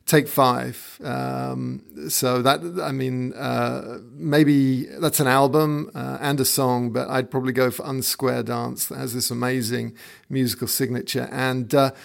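Gravelly man hosting a podcast. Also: mid-range voice, flat delivery, unhurried. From England. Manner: hesitant, many fillers and restarts. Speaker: podcast host. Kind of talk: casual interview chat.

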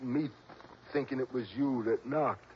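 An actor playing a nervous, simple informant in an old radio drama, halting and repeating words in a husky voice. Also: male voice, mid-range voice, a little hiss or hum, lightly acted. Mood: worried, weary. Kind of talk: 1950s-60s radio drama acting. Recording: dull old radio recording.

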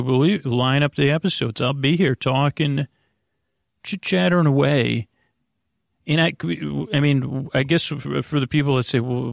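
A man with a creaky, gravelly voice, hosting a podcast in a meandering, halting bedtime monologue that keeps interrupting itself. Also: medium pitch, no room sound, studio quality. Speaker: podcast host. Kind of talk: rambling bedtime monologue to help listeners sleep.

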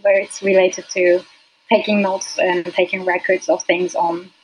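A woman whose first language is Turkish, a UX designer as a podcast guest, talking hesitantly with many fillers and soft laughs. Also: on the low side, level tone, unhurried, husky, poor audio. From Turkey. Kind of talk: casual interview.

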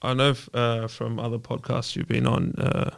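A man hosting a podcast interview, asking easygoing, halting questions. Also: mid-range voice, completely flat delivery, gravelly, dry recording, clean studio sound. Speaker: podcast host.

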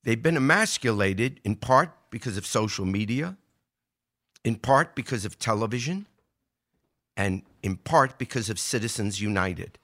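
An older American man delivers conversational political commentary in a bright, forceful voice. He explains in an emphatic way, posing rhetorical questions and building his argument point by point. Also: fairly low pitch, animated, a little slow. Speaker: podcast host giving political commentary.

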